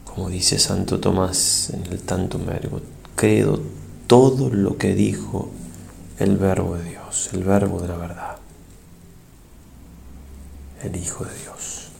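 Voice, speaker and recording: A man, a priest, leads a guided prayer meditation in a solemn, reverent and measured way, pausing between short phrases. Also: low-pitched, fairly even intonation, vocal fry, a little mellow, a little hiss or hum.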